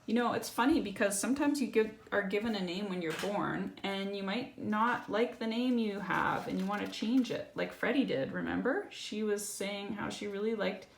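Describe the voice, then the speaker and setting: Woman reading aloud, creaky voice, roomy echo. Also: medium pitch, moderate pace, hardly any pauses. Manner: explanatory, checks in with tag questions. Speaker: storyteller reading a picture book to a child. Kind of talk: read-aloud storytelling with casual asides to a child. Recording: roomy echo, faint background noise.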